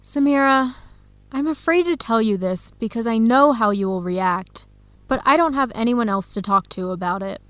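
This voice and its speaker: An actress playing an earnest young researcher who confides carefully and clearly in an English-learning radio drama. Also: female voice, on the high side, normal speed, a bit bright, no echo, natural voice. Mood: earnest, lovesick.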